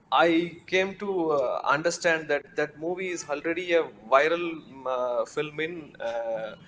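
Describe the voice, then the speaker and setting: Halting man on a film panel. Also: medium pitch, a bit bright, a little hiss or hum. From India. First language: Tamil. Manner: halting, many fillers and restarts. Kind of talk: panel discussion.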